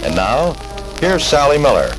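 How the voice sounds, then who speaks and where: A man's voice: a little high, big pitch swings, moderate pace, rough recording. A man announcing a radio show introduction in the style of the 1940s. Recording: old 1940s radio recording, music behind. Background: music.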